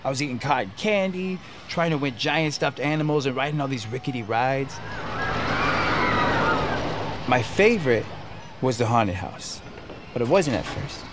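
A man's voice: mid-range voice, lively intonation, moderate pace, background noise, close to an everyday voice. An actor playing a casual, fearless coworker, chummy and earnest as he tells stories in an audio drama. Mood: nostalgic. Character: casual, fearless coworker confiding a childhood story. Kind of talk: audio drama dialogue, storytelling. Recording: music bed under voice.

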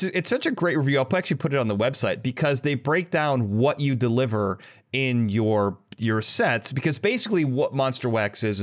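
A man hosting a casual interview, talking in a rambling way like an eager fan. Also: medium pitch. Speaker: podcast host, interviewer.

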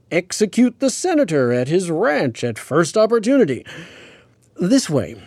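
An actor playing a learned, patient astrophysicist in an audio drama radio interview, explaining things in a measured, precise, pedantic way. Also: male voice, fairly high pitch, mellow, dry recording. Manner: pedantic, measured, hesitant with hedging asides. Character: learned male astrophysicist, patient, precise, mildly amused Cold War expert. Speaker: astrophysicist guest on a radio show.

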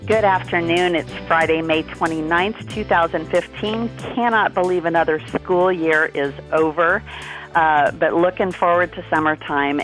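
A middle-aged woman hosting a radio interview, upbeat and chatty, often pausing on fillers and restarts. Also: sits low, a bit bright, low-quality recording. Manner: upbeat, halting with many fillers. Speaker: radio show host.

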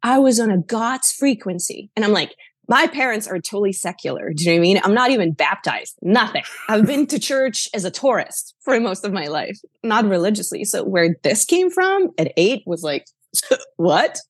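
Husky Slovenian-accented woman in interview. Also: mid-range voice, very animated, normal speed, dry recording, clean studio sound. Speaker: interview guest, actor and playwright. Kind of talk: conversational interview.

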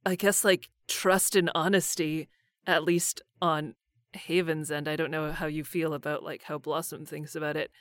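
A woman's voice: sits low, flat delivery, moderate pace, dry recording, clean studio sound. A woman talking casually as a voice actor guest in a podcast conversation. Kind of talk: casual chat.

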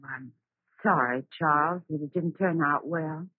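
An actress playing a gentle, principled old flame in an old radio drama, restrained and wistful. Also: female voice, deep voice, unhurried, husky, dry recording. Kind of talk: 1940s radio drama acting. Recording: muffled, remastered old radio recording.

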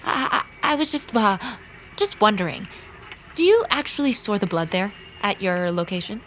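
An actress playing an anxious young woman vampire in an audio drama, speaking haltingly and often muttering to herself. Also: medium pitch, sing-song, fairly bright tone, a little hiss or hum. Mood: nervous, falsely casual. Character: young woman vampire, starving, anxious, determined to live ethically. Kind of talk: naturalistic audio drama acting.

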